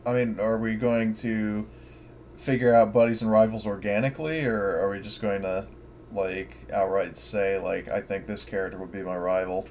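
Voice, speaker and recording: A man's voice: on the low side, steady tone, moderate pace, darkish tone. A man playing a tabletop game, chatting casually with his group over a noisy connection. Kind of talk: casual chat.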